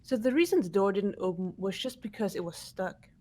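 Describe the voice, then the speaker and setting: Woman as calm student detective, mid-pitched, measured explaining, video game dub. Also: lightly acted. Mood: composed. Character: composed, analytical female student detective. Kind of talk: fan voice acting of a video game script.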